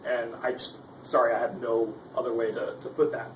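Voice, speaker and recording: A man's voice: medium pitch, level tone, normal speed. A man giving a casual, informal instructional demo, speaking hesitantly and with some self-deprecation. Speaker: guitarist giving a gear demonstration. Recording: roomy, distant mic with background noise.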